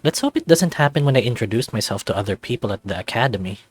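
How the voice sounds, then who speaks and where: A man's voice: mid-range voice, animated, quick pace, natural voice. A man voicing a sarcastic, anxious young furry student in a visual novel, narrating in a wry, self-deprecating, conversational way. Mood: fretful. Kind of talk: visual novel character voice-over.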